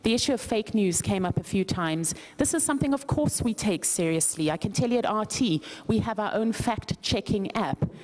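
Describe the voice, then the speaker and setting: A woman's voice: medium pitch, lively intonation, moderate pace, hardly any pauses, darkish tone, low-quality recording. A woman with a South African accent making a firm, assertive statement from the floor at a conference. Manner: firm, assertive, prepared argument. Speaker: conference participant, journalist.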